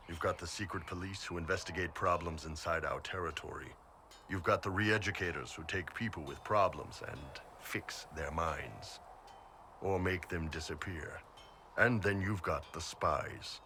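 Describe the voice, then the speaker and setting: A man's voice: low voice, normal speed, pushed and bright, slight background noise. A man playing a huge horned mercenary captain in a video game, blunt, shrewd and easygoing, speaking colloquially. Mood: casual, explanatory. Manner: laid-back, blunt, colloquial.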